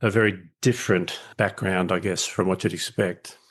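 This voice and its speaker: A middle-aged man with an Australian accent talks over a call, his voice sitting fairly low. He explains things in long, thoughtful runs with small restarts. It is an easygoing interview guest's voice. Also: fairly even intonation, normal speed, a bit bright. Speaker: guest, leadership educator.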